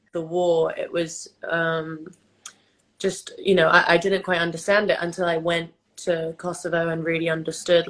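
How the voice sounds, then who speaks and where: A woman's voice: on the low side, a bit bright, rough recording. A young woman from England whose first language is Albanian, chatting casually on a phone livestream, full of fillers and restarts. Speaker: host of a livestream chat. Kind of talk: casual chat. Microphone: phone on a video livestream.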